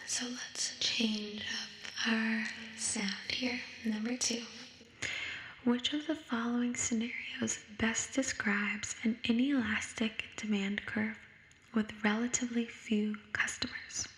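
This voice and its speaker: A young woman whispering in an ASMR style, reading study questions aloud in a hushed, unhurried manner, with an echo effect on her voice. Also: a little high, flat delivery. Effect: echo sound effect. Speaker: ASMR creator. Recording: echoing whisper recording.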